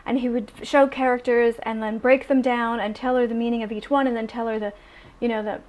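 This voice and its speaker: A young adult woman chatting casually in a vlog, animated and full of ums and restarts. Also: on the high side, fairly quick, muffled recording. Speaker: vlogger.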